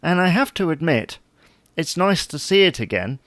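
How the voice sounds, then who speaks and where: A man's voice: fairly high pitch, sing-song, moderate pace, fairly bright tone, no echo. A man playing a chatty, fussy, wryly puzzled husband in an audio sitcom. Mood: reminiscing. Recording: noticeable background noise.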